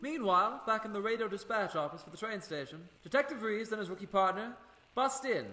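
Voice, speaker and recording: Bright, high-pitched serial narrator. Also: male voice, lively intonation, a little fast. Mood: urgent. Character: urgent old-time radio serial narrator. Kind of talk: old-time radio scripted narration. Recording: echoey, faint noise.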